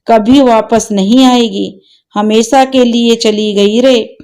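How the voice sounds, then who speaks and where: An elderly grandmother, as a woman narrator plays her, speaks tenderly and sorrowfully. The voice is dark and mellow, and it moves slowly, as in a spoken storybook. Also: a little high, fairly even intonation. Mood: grieving. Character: old grandmother, grieving and tender.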